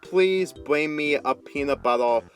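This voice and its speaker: A man's voice: fairly high pitch, measured pace, fairly bright tone, faint music behind, pitched above the performer's own voice. A man voicing a friendly, earnest male pet dog who makes videos, in a casual, eager way, for a story reading.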